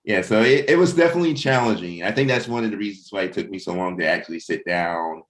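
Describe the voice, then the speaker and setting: A man's voice: fairly low pitch, fast. A man in a casual creative discussion as a writer and host, rambling and often saying "you know". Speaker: comic book writer hosting a table read discussion.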